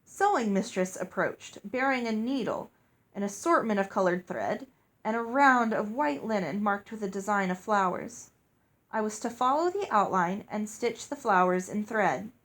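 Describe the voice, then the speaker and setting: A woman's voice: medium pitch, animated, normal speed, fairly bright tone. A woman reading a story aloud as narrator. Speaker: audiobook reader.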